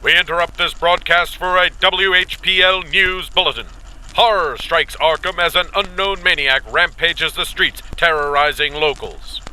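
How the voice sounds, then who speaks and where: A man's voice: fairly high pitch, steady tone, moderate pace, sharp tone, smooth, poor audio. A man playing an urgent radio news announcer, formal and dramatic in the style of an old-time bulletin. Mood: grave, urgent. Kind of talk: 1920s radio bulletin acting.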